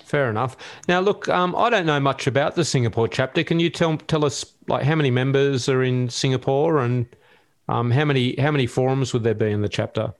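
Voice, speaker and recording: Relaxed man hosting an interview. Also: medium pitch, a little fast. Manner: relaxed, gives brief encouraging acknowledgments. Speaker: podcast host. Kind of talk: casual interview.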